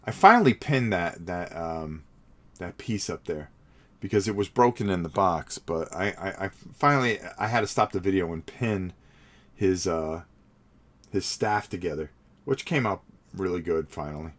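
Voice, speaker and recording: A man's voice: low-pitched, expressive, moderate pace. A middle-aged man with a cold, chatting to his livestream viewers as he paints, rambling and repeating words. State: sniffly from a cold. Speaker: hobby livestream host. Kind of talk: casual livestream narration while painting.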